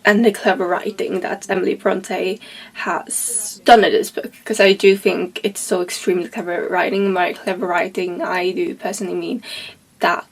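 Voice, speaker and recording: Swedish woman rambling about books, husky. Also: on the low side, flat delivery, normal speed, low-quality recording. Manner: rambling, heavy on fillers like "like" and "you know". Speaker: book vlogger. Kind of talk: casual rambling book talk.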